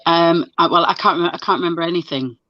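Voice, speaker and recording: A woman's voice: fairly low pitch, fast talker, no room sound. Chatty woman, dull lo-fi sound. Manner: chatty, stop-start storytelling with many restarts. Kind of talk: casual video chat.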